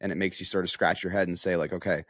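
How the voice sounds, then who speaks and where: A man's voice: deep voice, steady tone, racing, a bit bright, no echo, studio quality. A man analysing football in a casual podcast discussion, with an emphatic manner that leans on repeated phrases.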